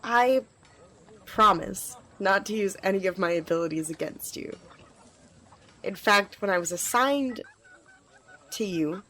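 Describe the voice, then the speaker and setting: A woman's voice: mid-range voice, measured pace, long pauses, fairly bright tone. A woman playing an eager, earnest, slightly nervous magical squire in an ASMR roleplay, talking to the listener in a hesitant, rambling, reassuring way. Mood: friendly, reassuring.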